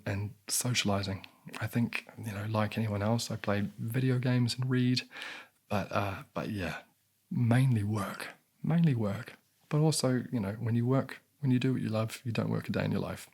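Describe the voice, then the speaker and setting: A young New man talks softly and unhurriedly into a close mic. His lowish voice is husky and gravelly, worn raspy as if he is losing it. He keeps stopping to clear his throat between answers. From New Zealand. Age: 20s. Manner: soft-spoken, unhurried, frequent throat clearing. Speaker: ASMR channel host. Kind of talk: soft-spoken Q&A ramble.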